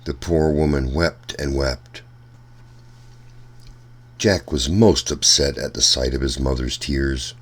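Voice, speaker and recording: A man reading a children's storybook aloud in an expressive way, addressing young listeners as a storytime narrator. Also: a bass voice, slow, pauses often, breathy edge. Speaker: storytime reader.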